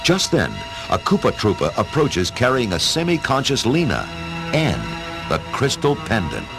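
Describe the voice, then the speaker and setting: A man narrating an audio drama from a script, with expressive, dramatic storytelling delivery. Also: medium pitch, moderate pace, over music.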